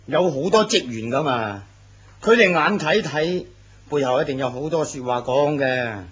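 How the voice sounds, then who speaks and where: An actor playing an arrogant, domineering company president in a radio drama. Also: male voice, fairly high pitch, animated, normal speed, a bit bright, husky. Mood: dismissive. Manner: curt, domineering. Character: arrogant middle-aged male company president. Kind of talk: Cantonese radio drama acting. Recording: dull lo-fi recording.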